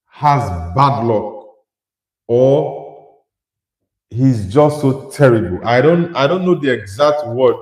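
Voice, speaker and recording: A man's voice: on the high side, reverberant, low-quality recording. A young man from Nigeria hosting a casual football livestream, reading viewer comments aloud and answering with his own opinions. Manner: conversational, reads out chat messages and replies with opinions.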